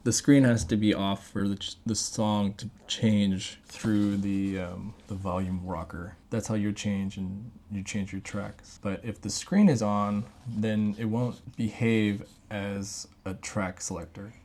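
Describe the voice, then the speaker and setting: A man's voice: fairly low pitch, steady tone, a little slow, few pauses, a little mellow. A man chatting casually as he gives a hands-on gadget review. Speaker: product reviewer.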